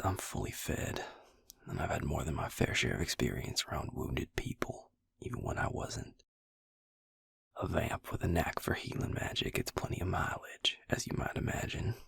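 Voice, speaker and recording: Man playing a gravelly, whispering vampire. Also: normal speed, no echo, studio quality, exaggerated voice. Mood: gruff, scolding. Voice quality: breathy, gravelly low murmur. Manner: hushed, blunt but tender. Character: gruff, caring adult male vampire healer, protective and exasperated. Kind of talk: intimate roleplay audio acting.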